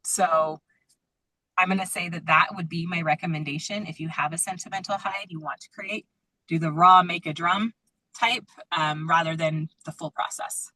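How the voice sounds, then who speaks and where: Female group-call teacher, slightly low pitch, bright forward tone, dry close sound, chatty and informal. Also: steady tone, normal speed, no room sound, low-quality recording. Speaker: drum-making teacher leading a group call. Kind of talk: casual conversational group call talk.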